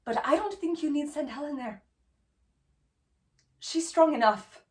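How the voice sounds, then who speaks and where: A woman performing a stage monologue as a haunted, defiant young teacher, speaking plainly and deliberately with pauses. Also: high-pitched, animated, a little mellow.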